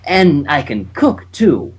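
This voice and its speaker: A man voicing a nervous, clingy female unicorn showmare, speaking softly and slowly with a flirty edge in a fan fiction reading. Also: a little high, wildly swooping pitch, slightly rough, full character voice. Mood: pleading. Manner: soft, slow, slightly flirty.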